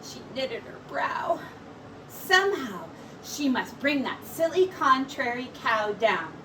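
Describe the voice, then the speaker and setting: A woman teacher reads a picture book aloud slowly, her voice bright and lively as she rises and dips through the story. She leans into each line with expressive storytelling. The mic sits a little away from her, with faint hiss behind. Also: high-pitched, distant mic, rough recording.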